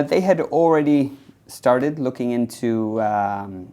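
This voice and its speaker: Man from spain, interview guest, relaxed storyteller, lots of ums, mid pitch, office interior. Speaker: CEO of a tech hub. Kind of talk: conversational interview answers. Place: indoors in an office building.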